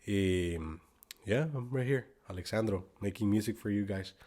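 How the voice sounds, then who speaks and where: A young man from Guatemala talks with a Spanish accent in a very low voice. He rambles along casually, his sentences filled with "like" and "bro." Now and then he drops into Spanish mid-thought. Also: moderate pace, mellow. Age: 20s. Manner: loose and rambling, lots of "like" and "bro", slips into Spanish. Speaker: guest. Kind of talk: casual interview chat.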